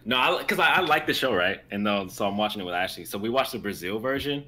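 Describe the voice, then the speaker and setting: Animated low-voiced young man. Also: fast. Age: young adult. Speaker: livestream co-host. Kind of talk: casual chat. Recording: background noise, livestream audio.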